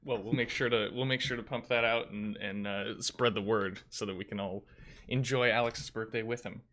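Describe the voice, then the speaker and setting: A man's voice: fairly low pitch, fairly even intonation, normal speed, fairly bright tone, a little hiss or hum. A man in his late twenties hosting a casual interview, upbeat and encouraging, with a few hesitant fillers. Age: 20s. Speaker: interviewer, host.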